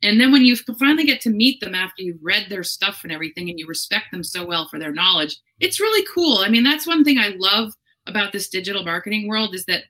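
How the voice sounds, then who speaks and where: A woman's voice: mid-range voice, sing-song, fast talker, fairly bright tone, low-quality recording. A woman hosting a casual interview, chatty and enthusiastic, telling stories with lots of fillers. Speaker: interviewer and show host.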